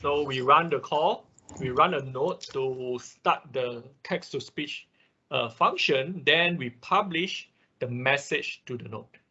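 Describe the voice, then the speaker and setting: A man's voice: medium pitch, takes it slowly, pushed and bright, breathy edge. A man leading an online class as instructor, explaining technical steps casually and often checking in with short confirming tags, heard over a video call. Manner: frequent confirming tags like "right" and "okay". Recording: dull lo-fi online call audio.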